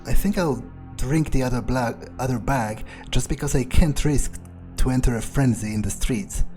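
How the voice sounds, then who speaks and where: Mid-pitched male gamer, mellow, casual, hesitant, in-character musing, faint music behind. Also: normal speed. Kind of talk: in-character game playthrough narration, thinking aloud.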